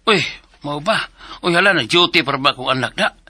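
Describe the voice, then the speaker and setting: An easygoing older father speaks mildly in a radio drama, played by a man. The tone is bright, and the recording is close and dry. The voice sits a touch higher than usual for a man. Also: animated, fairly quick, no echo, heavily acted.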